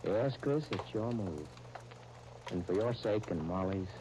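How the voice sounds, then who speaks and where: An actor playing a wise, loyal town doctor giving measured, folksy counsel in an old-time radio drama. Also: male voice, sits low, lively intonation, background noise. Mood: fatherly, supportive.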